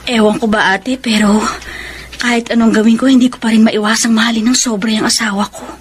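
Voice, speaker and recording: An actress playing a devoted, heartbroken middle-aged wife in a Filipino radio drama, pleading and emotional with a Filipino accent. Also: female voice, a little high, fairly even intonation, fairly quick, slight background noise, lightly acted. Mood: worried, lovesick.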